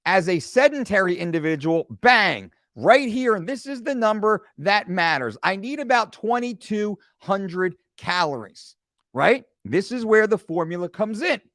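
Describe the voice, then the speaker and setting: A man in his forties leads a screen-share fitness lesson with a fairly high voice that swings widely in pitch. He keeps things animated and throws in joking asides. The sound is close and dry, with no room around it. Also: a little slow, no echo. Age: 40s. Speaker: fitness coach.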